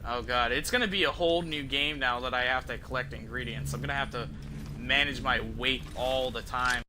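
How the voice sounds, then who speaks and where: A man's voice: medium pitch, a little fast, background noise. A man giving casual, profane, slangy gameplay commentary as a game streamer. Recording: game music behind the voice. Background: video game music and sound effects.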